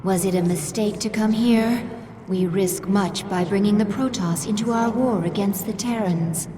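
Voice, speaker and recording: A woman voicing a dutiful, composed adviser to a queen in a video game, formal and measured. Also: medium pitch, background noise, close to an everyday voice. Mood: calm, questioning. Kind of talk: video game character acting.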